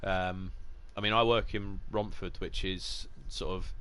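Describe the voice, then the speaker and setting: Chatty Essex man with a low voice. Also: steady tone, unhurried, a bit bright, slight background noise. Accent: Essex English. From Essex, England. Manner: chatty, jokey, rambling with restarts. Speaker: gaming streamer. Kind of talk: casual live-stream commentary.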